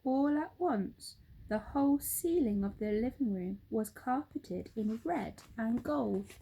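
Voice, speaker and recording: A woman reads a children's story aloud. Her voice is high and very lively in its rise and fall, and she takes her time, with dramatic expression. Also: slow, vocal fry, breathy edge, rough recording. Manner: animated, dramatic read-aloud.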